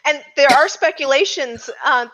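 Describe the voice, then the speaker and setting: A woman's voice: sits high, normal speed, pushed and bright. A woman hosting a casual movie review discussion, speaking in an opinionated, emphatic way and repeating phrases to make her point. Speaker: podcast host leading a roundtable. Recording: dull, lo-fi audio.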